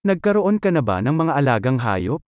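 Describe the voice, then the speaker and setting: A man reading short translated phrases one at a time in a clear, scripted delivery for a language lesson. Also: medium pitch, sing-song, a little fast, dry recording, studio quality. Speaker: Filipino phrase reader.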